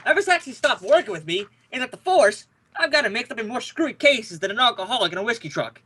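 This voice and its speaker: Guy doing a grumpy sarcastic radio police lieutenant, high bright voice, blustery, swings up and down. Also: lively intonation, quick pace, exaggerated voice. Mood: exasperated. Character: exasperated, grumbling male police lieutenant. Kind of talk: 1940s detective radio drama.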